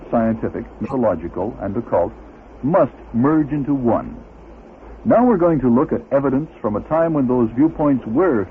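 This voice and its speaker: A male radio host reads a scripted documentary. His pitch sits a little high of middle and moves in an animated way. The sound is narrow and phone-like, with faint noise. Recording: noisy phone-like old radio recording.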